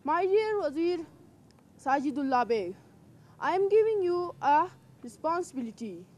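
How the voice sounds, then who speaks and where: A teenage girl playing a gracious young king in a school drama, speaking in slow, formal recited lines. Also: high-pitched, big pitch swings, darkish tone. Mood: regal. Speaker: student actor. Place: outdoor rooftop terrace in mountains.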